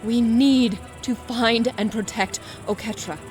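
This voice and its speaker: A woman's voice: on the high side, fairly even intonation, slow, a little mellow, faint music behind. A woman playing an earnest, defiant heretic warrior in a fantasy audio drama, speaking with solemn emphasis. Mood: urgent.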